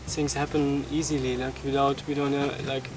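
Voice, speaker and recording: Even-toned young man over loud music. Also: mid-range voice, moderate pace, rough recording. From Germany, grew up in Portugal. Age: 20s. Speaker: event volunteer being interviewed. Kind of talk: casual personal account. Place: outdoors in a mountain village.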